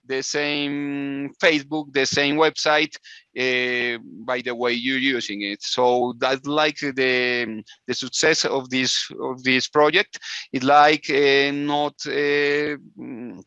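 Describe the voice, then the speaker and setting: A man from Colombia speaking English with a Spanish accent, a guest inventor on a video call interview, halting with many restarts and frequent laughs. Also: mid-range voice, level tone, sharp tone, clean tone, no echo. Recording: dull lo-fi call audio.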